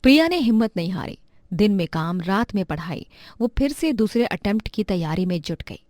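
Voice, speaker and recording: A woman narrating a scripted moral story in a calm, measured way. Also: mid-range voice, no room sound, clean studio sound.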